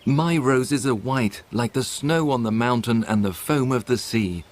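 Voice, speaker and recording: A man's voice: mid-range voice, unhurried, voice pushed up, natural voice. Man voicing a polite, apologetic rose tree. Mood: regretful, helpful. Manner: polite, regretful.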